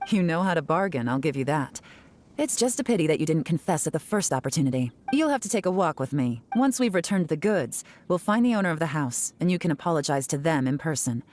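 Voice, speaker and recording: A woman playing a cool, composed investigator in a video game, stern, measured and wry. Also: deep voice, big pitch swings, a little mellow, slight background noise. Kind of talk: video game character acting.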